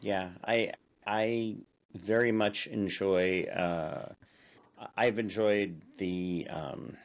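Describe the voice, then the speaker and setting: A man from Illinois hosting a casual interview, talking in a halting way with many fillers and restarts. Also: lowish voice, steady tone. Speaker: interview host.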